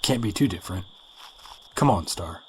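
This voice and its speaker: An actor playing a blunt, practical male EMT who stays calm. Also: low-pitched, normal speed, a little hiss or hum, poor audio.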